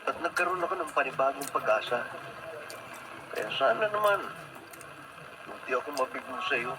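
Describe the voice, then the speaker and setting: A man's voice: medium pitch, very animated, moderate pace, close to an everyday voice. An actor with a Filipino accent playing a lovestruck, dramatic young male student in a radio drama, pleading and persistent. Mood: hopeful, wooing. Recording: very noisy radio broadcast.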